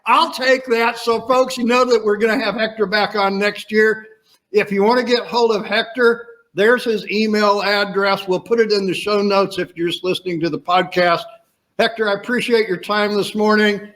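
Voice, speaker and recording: A man's voice: sits high, steady tone, normal speed, a bit bright, poor audio. A man hosting a podcast over a video call, talking emphatically with rhetorical questions. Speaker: podcast host. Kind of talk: casual interview chat.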